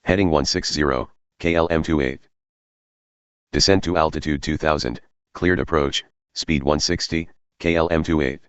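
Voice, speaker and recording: A man's voice: extremely low pitch, fairly even intonation, moderate pace, clean tone, no echo. A man giving clipped aviation phraseology over an air traffic radio transmission. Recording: dull, band-limited air traffic radio.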